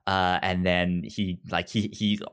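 A man's voice: low voice, level tone, slow pace, a bit bright, smooth. A man hosting a podcast in a casual, chatty way, often stumbling over and repeating his words. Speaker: podcast host.

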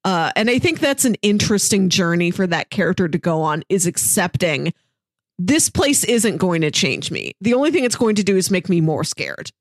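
A woman's voice: fairly low pitch, very animated, normal speed, no room sound. Woman chatting casually, close dry sound. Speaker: podcast co-host. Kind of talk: casual analytical chat.

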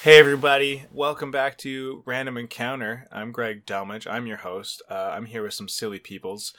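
A man's voice: mid-range voice, level tone, moderate pace, a bit bright. A man hosting a podcast in casual chat, upbeat and goofy, turning sentimental at times. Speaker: podcast host.

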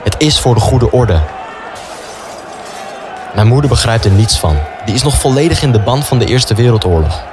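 A man's voice: medium pitch, expressive, moderate pace, long pauses, music in the background, natural voice. A young man playing a stubborn, idealistic student in a wartime radio play, earnest and self-justifying. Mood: self-convinced. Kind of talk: radio play dialogue and inner monologue.

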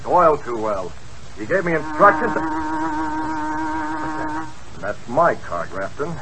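A man's voice: medium pitch, very animated, slow pace, noisy background. A man playing a masked crime-fighter posing as a racketeer in a 1940s radio play, speaking in a curt, commanding manner. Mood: menacing.

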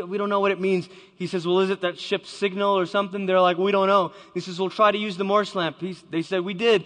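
A man tells a story on stage to a live audience, in a voice a little higher than most men's. He acts out every voice he quotes, with a dramatic storyteller's timing. A long reverb from a large hall trails behind each phrase. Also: sharp tone. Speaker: speaker.

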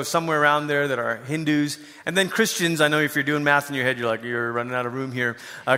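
A middle-aged male pastor with a bright, forceful, fairly high voice preaches in a conversational style. He is an informal, animated storyteller, and his words ring with the long reverb of a large hall. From Malaysia. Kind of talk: conversational sermon with personal storytelling.

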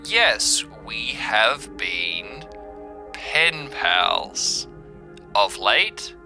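A man voicing a cryptic, formal stranger in an audio drama, speaking in slow, measured phrases. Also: on the low side, very animated, vocal fry, over music, heavily acted. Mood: eerie, polite. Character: cryptic, formal mysterious contact speaking over a crackly radio.

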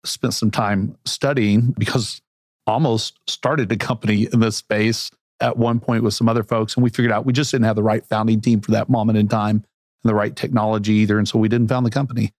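A man's voice: lowish voice, little pitch movement, brisk, darkish tone, no room sound, studio quality. A man hosting a podcast interview, asking questions in an upbeat, conversational way. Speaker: podcast host.

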